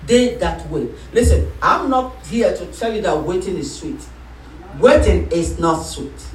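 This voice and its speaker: A woman pastor preaching a sermon, calling for responses and rising into shouts. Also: on the low side, expressive, unhurried, recorded from a distance, slight background noise. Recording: echoey room, voice from off-mic.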